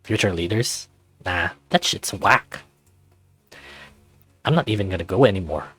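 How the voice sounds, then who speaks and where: Man as sarcastic slangy teenage best friend, lowish voice. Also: animated, unhurried, a bit bright, husky, no echo, full character voice. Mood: irritated. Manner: slangy, offhand banter.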